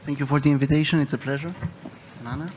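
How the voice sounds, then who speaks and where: A young man from Romania with a Romanian accent, a cardiologist presenting a case at a medical conference in a halting, hesitant way. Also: on the high side, fairly even intonation, a little mellow. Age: young adult. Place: conference hall. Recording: reverberant hall, noticeable background noise.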